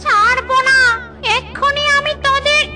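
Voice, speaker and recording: A woman's voice: very high-pitched, fairly even intonation, normal speed. A woman playing a fierce, grieving witch mother in a cartoon, with a melodramatic delivery. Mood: menacing, vengeful. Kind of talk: cartoon voice acting. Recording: loud background music.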